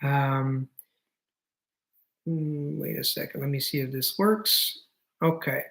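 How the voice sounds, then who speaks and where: A man's voice: medium pitch, flat delivery, slow pace, gravelly, a bit bright. Rambling man answering live questions. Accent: Italian. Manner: frequent restarts and fillers, thinking aloud; enthusiastic, explanatory. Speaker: host answering viewer questions. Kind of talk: live stream Q&A, casual and rambling.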